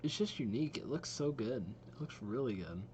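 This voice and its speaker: A man's voice: medium pitch, animated, brisk, darkish tone. Casual young man, faint noise behind. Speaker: reaction video host. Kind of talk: casual, rambling reaction commentary.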